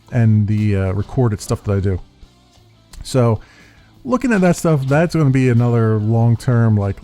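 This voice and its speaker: Channel host, male, young adult, deep mellow voice, halting rambling delivery, soft music underneath. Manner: halting, full of uhs and restarts. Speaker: YouTube gaming channel host. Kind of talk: rambling casual channel update. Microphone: USB desktop condenser mic. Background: soft background music.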